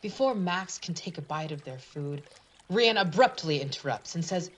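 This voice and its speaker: A woman's voice: on the low side, lively intonation, normal speed, a little hiss or hum, rough recording. A woman narrating a scripted storybook tale for a puppet show in a dramatic fairy-tale manner.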